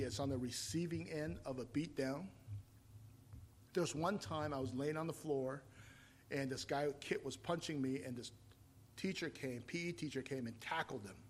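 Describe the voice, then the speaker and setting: A man in his fifties, from Taiwan, preaching a sermon and telling his own story, rising to loud emphatic points and falling back to quiet, reflective storytelling. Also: medium pitch, slight background noise. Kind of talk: sermon with personal testimony.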